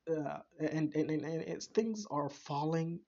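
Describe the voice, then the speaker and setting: A man's voice: a little high, measured pace, warm tone, highs cut off, a little hiss or hum. A man reacting to game voice lines, thinking aloud and often repeating words as he searches for them. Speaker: video reactor. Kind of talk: casual commentary.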